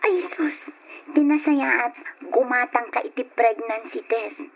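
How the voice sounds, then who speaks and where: Reassuring best friend, highish voice, old lo-fi radio. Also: female voice, slow pace, creaky, crowd noise. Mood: caring. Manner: reassuring, practical advice. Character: young woman, a caring best friend.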